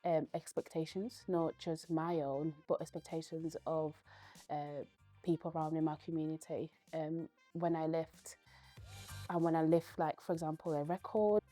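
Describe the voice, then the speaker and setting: A young woman, a Spanish speaker from Spain, giving earnest, thoughtful interview answers and pausing often to gather her words. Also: low-pitched, little pitch movement, dark tone, faint music behind. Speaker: guest, powerlifter.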